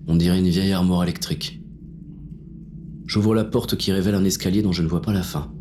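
Very deep, jaded police inspector played by a man. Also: fairly even intonation, brisk, noisy background, close to an everyday voice. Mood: wary. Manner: world-weary, slangy, blunt. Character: jaded, wary, hard-bitten male police inspector. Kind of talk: first-person noir audio drama narration.

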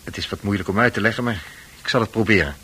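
Composed male doctor for radio play; lowish, rough, breathy voice with a forceful edge, measured explanations. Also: low-quality recording. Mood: composed explaining. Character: composed, authoritative male psychiatrist explaining to patient.

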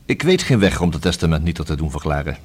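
An actor in a Dutch radio play as a courteous, persistent police investigator, speaking in a formal, long-winded, deliberate way. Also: male voice, very deep voice, sing-song, a little mellow, breathy edge, full character voice. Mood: formal, matter-of-fact.

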